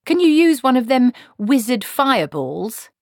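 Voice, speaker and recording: A woman's voice: on the high side, very animated, unhurried, darkish tone, no room sound, pitched above the performer's own voice. A stubborn old witch, as a woman narrator puts her on in a storybook reading. She is brusque and bossy, giving blunt, folksy orders in a raised voice. The sound is dry. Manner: blunt, folksy commanding speech.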